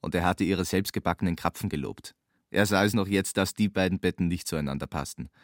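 Low-voiced male literary narrator, dry sound. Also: brisk, no room sound. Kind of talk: literary audio drama narration with acted quotes.

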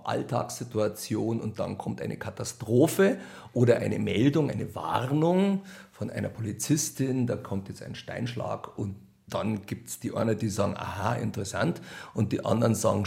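A man's voice: lowish voice, vocal fry, darkish tone. A man with a Bavarian accent chatting colloquially and wryly in an interview, as a radio play author and director. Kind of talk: casual interview talk.

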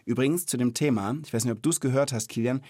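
A man's voice: mid-range voice, fast, a little mellow, no echo, studio quality. A man in his thirties hosting a children's podcast, explaining things in an informal, chatty, conversational way.

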